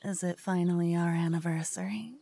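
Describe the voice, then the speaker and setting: A woman voicing a cocky, playful lover who whispers and teases softly in an ASMR roleplay. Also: fairly low pitch, steady tone, a little fast, vocal fry, no echo. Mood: playful teasing. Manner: playful, teasing, soft whispering. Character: cocky playful young woman, a devoted lover waking her partner. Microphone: binaural mic.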